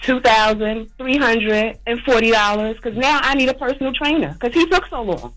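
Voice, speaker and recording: A woman's voice: fairly high pitch, lively intonation, moderate pace, fairly bright tone, soft background music, poor audio. A woman in her forties calling in to a radio show by phone, sassy and playful. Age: 40s. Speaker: caller. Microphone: phone call.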